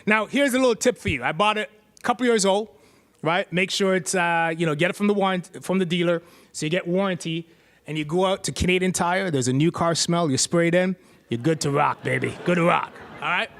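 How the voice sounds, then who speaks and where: A man's voice: high voice, fairly quick, fairly bright tone. A middle-aged man giving a playful, chatty live tech demo on stage, joking with the crowd into a handheld mic.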